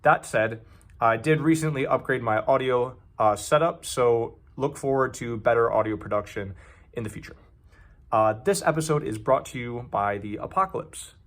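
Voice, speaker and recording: A man's voice: mid-range voice, normal speed, a bit bright. A man hosts a casual podcast interview in an everyday mid-range voice. He rambles a little and leans on fillers like "like" and "you know". He speaks into a lapel mic. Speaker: podcast host. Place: indoor venue with background noise. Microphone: lapel mic on collar.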